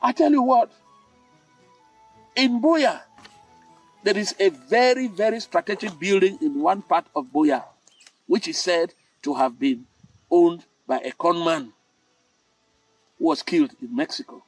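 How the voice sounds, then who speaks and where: An older man with a Cameroonian accent hosts a storytelling talk in a deliberate, emphatic way, circling back to repeat his main phrases. His voice sits a little high for a man. He is slightly away from the mic in a fairly dry space, with soft music underneath. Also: no room sound, recorded from a distance, low-quality recording. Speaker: storytelling host.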